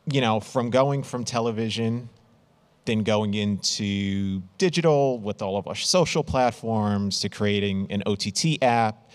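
Man hosting a panel, unhurried and easygoing, slightly low voice. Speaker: panel moderator. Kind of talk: panel discussion.

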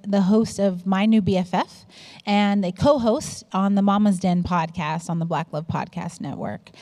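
Woman executive on a panel, reflective, mid-pitched voice. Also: a little mellow. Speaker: company CEO and podcast host. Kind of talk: panel discussion.

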